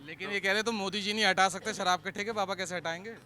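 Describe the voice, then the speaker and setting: A man's voice: high-pitched, fairly even intonation, fast, sharp tone. A man working as a street reporter, interviewing passers-by casually with probing follow-up questions. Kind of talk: vox pop interviewing in casual Hindi. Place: outdoors on a street. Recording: outdoor street recording.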